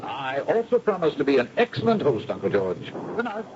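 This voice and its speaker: A lively, genial young gentleman. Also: medium pitch, a little fast. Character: genial, courteous young nephew in a manor. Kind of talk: old radio drama acting. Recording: muffled, noisy old radio recording.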